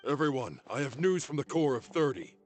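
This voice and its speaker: A man's voice: medium pitch, very animated, natural voice. A man playing a grave, dignified middle-aged scholar and mentor in a video game, speaking formally. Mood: urgent, courteous. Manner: formal, measured. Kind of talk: video game character acting. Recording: music under the voice.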